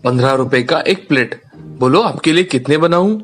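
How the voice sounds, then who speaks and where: A man's voice: a little high, normal speed, close to an everyday voice. A man voicing a polite, earnest, hardworking young village farmer in a cartoon story. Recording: music under the voice.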